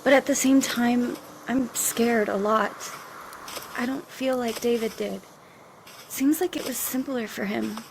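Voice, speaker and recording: A woman acts out a young desert refugee in a cinematic audio drama. Her voice sits fairly high, earnest and reassuring, devout and protective yet fearful, over faint background ambience. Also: unhurried, gravelly, noisy background. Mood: earnest, reflective.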